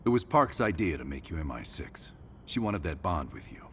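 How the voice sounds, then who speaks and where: A man acting as a hard, coercive CIA operative in a video game, speaking in a measured, deliberate and intense way. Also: deep voice, sing-song, a little hiss or hum. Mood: cold, explanatory. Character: hard, coercive male CIA operative leading a covert team.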